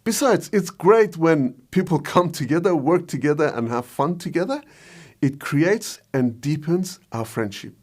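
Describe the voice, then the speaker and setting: A man's voice: on the high side, expressive, a little slow, darkish tone, slight background noise. A man presenting a scripted explainer as a video host and filmmaker. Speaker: video host, short film director.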